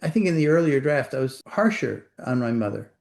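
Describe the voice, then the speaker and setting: An older author with a Puerto Rican accent, answering interview questions in a thoughtful, measured way. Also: male voice, mid-range voice, big pitch swings, vocal fry, darkish tone. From Puerto Rico. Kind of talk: reflective conversation.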